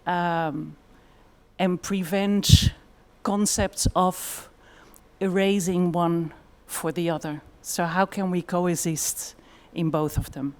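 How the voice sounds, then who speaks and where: A woman's voice: fairly low pitch, fairly even intonation, slow pace. A woman with a Dutch accent giving a conference presentation in a thoughtful way, with frequent fillers. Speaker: conference speaker.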